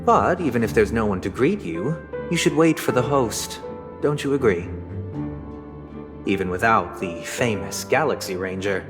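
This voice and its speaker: An actor playing a coolly polite, condescending gentleman of authority in a video game, formal and pointed. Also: lowish voice, lively intonation, normal speed, lightly acted. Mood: coolly reproving. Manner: formal, smooth-mannered, pointed. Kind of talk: English video game voice acting. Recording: long reverb, game music behind.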